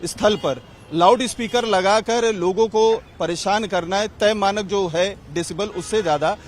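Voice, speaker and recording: High-pitched male street reporter, Indian accent. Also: moderate pace. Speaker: field news reporter. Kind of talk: street vox pop interviewing. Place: outdoors on a city street near a mosque. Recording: outdoor street, faint traffic noise.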